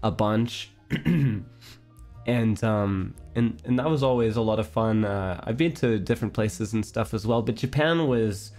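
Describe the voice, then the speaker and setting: A man streamer chatting casually with his viewers, rambling with restarts and little breathy laughs. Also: on the low side, unhurried, a little mellow, faint music behind.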